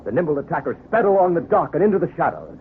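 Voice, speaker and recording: A man's voice: fairly high pitch, quick pace. A man narrating a scripted adventure drama in an old-time radio style. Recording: tinny vintage radio, steady hiss.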